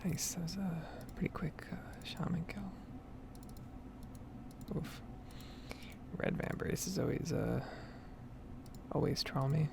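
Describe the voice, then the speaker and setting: A woman speaking in a soft, breathy whisper, rambling casually in an ASMR gameplay style, close to the mic with typing and clicking behind her. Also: low voice, slow, long pauses. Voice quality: breathy, soft-spoken whisper with heavy vocal fry. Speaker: gaming streamer and ASMR creator.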